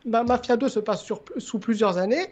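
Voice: mid-range voice, moderate pace, darkish tone, husky. A podcast guest in casual chat, tentatively offering ideas and repeating words. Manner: tentative, repeats words before making a point.

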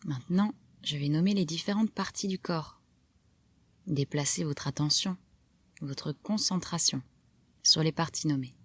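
Female meditation guide, low register, unhurried and soft, calm instructions with pauses, very dry close sound. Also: husky, dry recording. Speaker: yoga nidra guide. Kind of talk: guided relaxation meditation narration.

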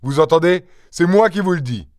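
Man acting an excitable, blustering peasant farmer. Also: a little high, normal speed, no room sound, clean studio sound, voice pushed up, natural voice. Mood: scornful, exasperated. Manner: exclamatory, insistent, stammering when alarmed.

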